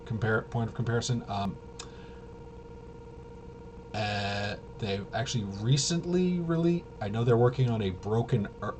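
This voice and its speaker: Low-voiced man rambling over background noise. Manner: hesitant, rambling, trails off and self-corrects. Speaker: tabletop game reviewer and presenter. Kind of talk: casual unscripted walkthrough. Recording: clearly noisy room ambience.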